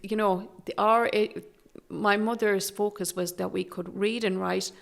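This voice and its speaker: Soft-spoken Irish woman with a gravelly voice. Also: medium pitch, steady tone, unhurried. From Galway, Ireland. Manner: soft-spoken and hesitant, with many fillers and restarts. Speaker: guest, Traveller activist. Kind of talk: candid interview conversation.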